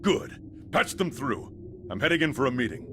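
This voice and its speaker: An actor playing a hot-tempered military chief with an urgent, authoritative manner in a video game. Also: male voice, mid-range voice, very animated, normal speed, background noise, natural voice. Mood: agitated. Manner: urgent, authoritative, barking orders. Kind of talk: video game cutscene acting.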